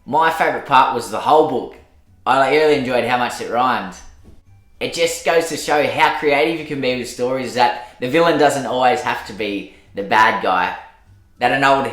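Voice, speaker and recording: A man narrating a rhyming children's storybook as host, in an expressive, dramatic storytelling manner. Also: mid-range voice, normal speed. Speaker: storyteller, host of a children's read-aloud show.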